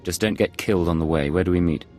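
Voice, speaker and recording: Very deep-voiced, cool secret agent played by a man. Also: quick pace, a little mellow, faint music behind, lightly acted. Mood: calm, businesslike. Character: cool, calculating male imperial secret agent. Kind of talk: video game dialogue acting.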